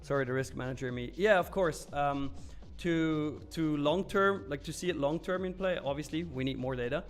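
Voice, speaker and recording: A man hosts a live stream, answering viewers in a loose, candid way with plenty of fillers. His voice sits a little on the high side, and faint music plays softly beneath it. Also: normal speed. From Switzerland. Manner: loose and candid, with frequent fillers and some mild swearing. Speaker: live trading stream host. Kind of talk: casual live-stream chat, reading and answering viewer comments.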